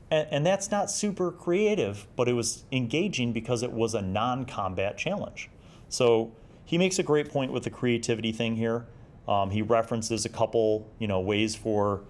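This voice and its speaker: A man in his forties with a fairly low voice hosts a casual review video. He explains things conversationally, stressing his points, and moves between his own commentary and reading passages aloud. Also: darkish tone. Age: 40s. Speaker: video host reviewing old gaming magazines.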